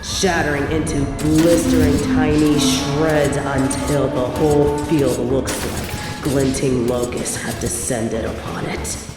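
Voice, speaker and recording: A woman's voice: low voice, steady tone, slow pace, very echoey, in a large hall, music in the background. A woman narrating scripted dramatic fiction in the second person.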